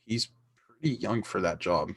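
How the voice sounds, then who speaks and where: A man's voice: low-pitched, normal speed, vocal fry, a bit bright, full character voice. A man playing a tired, kindly, principled middle-aged father in a radio play. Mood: weary, gentle. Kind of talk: 1940s-style radio play acting.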